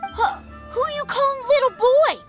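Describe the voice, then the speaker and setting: A woman's voice: extremely high pitch, a little slow, over loud music. A woman playing a brash, self-important little boy who fancies himself a warrior, in a video game. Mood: accusing, cocky.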